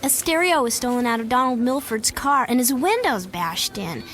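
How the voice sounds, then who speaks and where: An actress playing an earnest, puzzled small-town woman in an audio drama, with an expressive, wondering delivery. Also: a little high, moderate pace. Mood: concerned.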